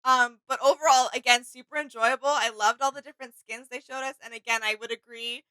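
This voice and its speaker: A woman's voice: fairly high pitch, fairly even intonation, a little fast, pushed and bright, clean tone, low-quality recording. A woman co-hosting casual reaction commentary, speaking in an agreeable, appreciative way. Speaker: reaction video co-host. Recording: faint music behind. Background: music.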